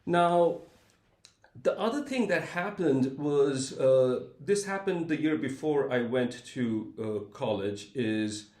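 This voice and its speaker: Measured man, roomy sound. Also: medium pitch, lively intonation, a little mellow. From India (Calcutta). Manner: thoughtful and measured, with frequent hesitant fillers. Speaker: university professor, guest. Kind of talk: reflective interview storytelling. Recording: roomy echo.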